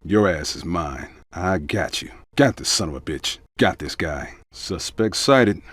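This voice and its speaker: An actor playing a tough, urgent American police officer in a combat video game, speaking in clipped, forceful barks. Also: male voice, sits low, animated, unhurried, no room sound, heavily acted. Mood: low, menacing. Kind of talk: short shouted combat barks.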